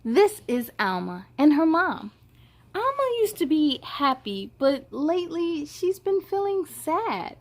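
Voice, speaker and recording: A woman hosting a children's read-aloud, expressive and playful, speaking straight to young listeners. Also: high-pitched, slow pace.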